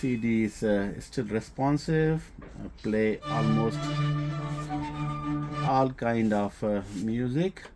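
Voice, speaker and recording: A man who speaks English as a second language gives a casual, unscripted product demonstration, pausing often with hesitant fillers. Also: on the low side, over loud music. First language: not English. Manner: halting, hesitant delivery with many filled pauses. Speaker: presenter demonstrating a used boombox.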